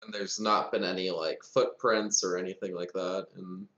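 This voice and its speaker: A man's voice: sits low, fairly even intonation, moderate pace, fairly bright tone. A man chatting casually as a player in a tabletop game, on a dull, roomy microphone. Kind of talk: casual gaming chat.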